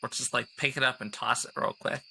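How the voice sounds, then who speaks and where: A man's voice: mid-range voice, fairly even intonation, quick pace, gravelly, pushed and bright, husky, rough recording. A man chatting casually as a player in a tabletop roleplaying game, improvising what his character does.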